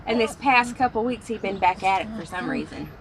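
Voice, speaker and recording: A woman's voice: medium pitch, animated, fairly quick, gravelly, crowd noise. A woman chatting casually and teasingly as a co-driver in a truck cab. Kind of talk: casual chat.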